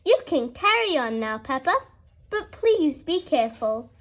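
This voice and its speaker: A gentle female fox nurse from a children's storybook, voiced by a woman reader. She is caring and reassuring. Her words come slowly, with a lively melody. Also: high-pitched.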